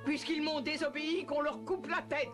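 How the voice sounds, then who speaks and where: A woman's voice: high voice, steady tone, normal speed, close to an everyday voice. A woman voicing an imperious, short-tempered and cruel queen in a French cartoon, with a commanding, haughty manner. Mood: merciless. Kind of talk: French cartoon dub acting. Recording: loud music behind the voice.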